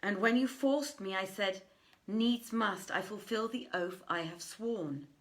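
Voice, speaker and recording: A middle-aged woman reading old tales aloud in a deliberate, theatrical way, with emphatic pauses. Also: medium pitch. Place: indoors, living room by a fireplace.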